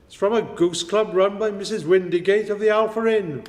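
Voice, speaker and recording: A humble British man played by an actor with a notably high voice, hesitant and apologetic. He sounds deferential and down on his luck in a comic radio play, with long reverb. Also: normal speed, darkish tone, lightly acted. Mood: humbly grateful.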